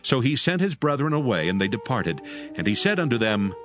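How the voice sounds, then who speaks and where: A man's voice: medium pitch, big pitch swings, a little fast, faint music behind. A man narrating scripture from a script as a formal storyteller.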